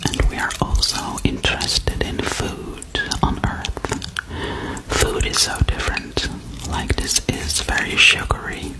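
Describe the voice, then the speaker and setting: A woman whispering close to the mic as a gentle female alien visiting Earth, in a slow, soothing, repetitive ASMR role-play, recorded indoors in a small room. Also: natural voice, poor audio. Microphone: large studio mic held very close.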